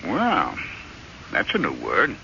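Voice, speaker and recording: A forceful, bright-edged voice for a haunted, needling American husband. His pitch moves widely as he pleads or turns sarcastic, in old radio drama manner. The recording is slightly hissy and vintage. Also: wildly swooping pitch, slow, vocal fry, highs cut off, exaggerated voice. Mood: irritated, sarcastic.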